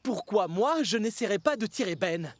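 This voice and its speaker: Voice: mid-range voice, expressive, moderate pace, lightly acted. An actor voicing an eager, kind, anxious young male tank engine in a children's cartoon, earnest and exclamatory. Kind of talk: dubbed children's cartoon dialogue.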